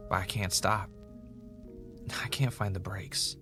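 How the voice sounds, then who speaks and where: A man acting as an anxious, self-doubting podcast host in an audio drama, talking in a halting, confessional way. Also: sits low, fairly even intonation, over music. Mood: regretful, despairing. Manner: hesitant, self-questioning, halting.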